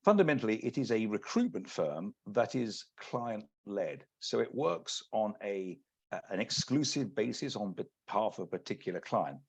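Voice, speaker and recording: A man from the UK with a British accent, giving a measured, businesslike webinar presentation as an executive search partner. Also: on the low side, sing-song, gravelly, breathy edge. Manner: measured, businesslike, many restarts. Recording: lo-fi video call audio.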